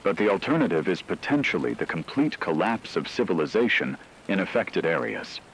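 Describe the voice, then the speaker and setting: A man playing a grave radio news anchor, delivering a formal, measured, analytical scripted report on an apocalypse. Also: low-pitched, lively intonation, vocal fry, slight background noise, poor audio.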